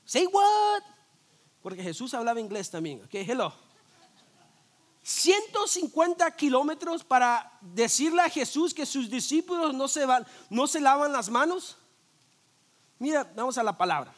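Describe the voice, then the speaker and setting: A man, a pastor, preaching a sermon in a lively, conversational way, full of rhetorical questions, jokes and quick restarts. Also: very high-pitched. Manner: frequent restarts and repeated words.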